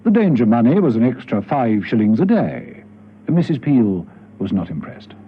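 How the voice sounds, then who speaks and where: A man acting as the narrator of a spy radio serial, telling the story in a measured, dramatic way. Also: mid-range voice, very animated, husky. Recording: dull, noisy old radio recording.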